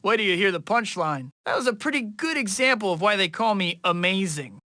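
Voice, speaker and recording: A young American male superhero for a video game. His voice sits high and forward with a crisp, bright edge, recorded very dry. He tosses out cocky, friendly wisecracks in a boyish, conversational way. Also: lively intonation, a little fast, no echo. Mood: teasing, cocky. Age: young adult. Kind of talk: video game voice lines, short quips.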